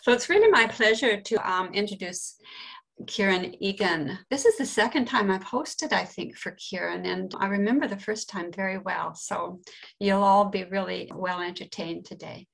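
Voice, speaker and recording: A woman's voice: mid-range voice, moderate pace, gravelly, a bit bright, rough recording. A woman from Canada hosting a book launch on a video call, introducing the author by reading his bio plainly and stumbling over a prize name. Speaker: event host.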